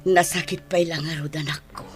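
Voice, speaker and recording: A woman playing a weary, long-suffering middle-aged mother in a Filipino radio drama, husky, with an Ilocano accent. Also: low voice, steady tone, normal speed, soft background music, lightly acted. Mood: resigned, weary.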